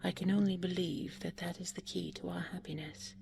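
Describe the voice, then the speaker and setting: A woman voicing a gracious, loving fairy-tale princess, softly and gently. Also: lowish voice, steady tone, a little fast, vocal fry. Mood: loving, gentle.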